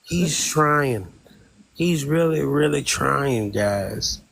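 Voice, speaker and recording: A man's voice: medium pitch, expressive, drawn-out words, gravelly, over a noisy crowd. A man moderating a live-stream discussion, talking hesitantly with many restarts and a deferential tone. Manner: hesitant, repeats words, deferential.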